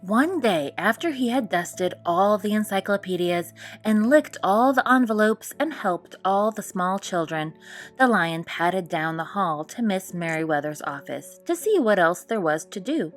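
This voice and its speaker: A woman reading a children's picture book aloud as expressive storytime narration. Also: mid-range voice, unhurried, faint music behind. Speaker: storytime read-aloud host.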